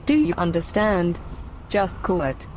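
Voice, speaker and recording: A woman's voice: on the low side, expressive, measured pace, a little mellow, a little hiss or hum. A woman playing an exasperated, strict mother scolding her son.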